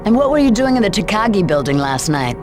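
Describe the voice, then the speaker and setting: Hard-nosed female cop played by an actress, game interrogation; dry, probing, medium pitch, music behind. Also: very animated, quick pace, lightly acted. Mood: pointed, skeptical. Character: tough, skeptical female police lieutenant. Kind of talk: video game cutscene acting.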